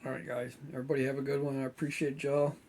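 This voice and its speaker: A relaxed man chatting to his viewers about his model builds. His voice is gravelly and a little hoarse, and he rambles with plenty of fillers. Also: medium pitch, racing. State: slightly hoarse, losing voice. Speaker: scale model builder and channel host. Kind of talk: casual chat to viewers.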